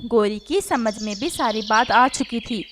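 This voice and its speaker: A woman with a high-pitched voice narrates a children's animal story. Her delivery is scripted storytelling. A faint layer of noise lies behind the voice. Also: measured pace.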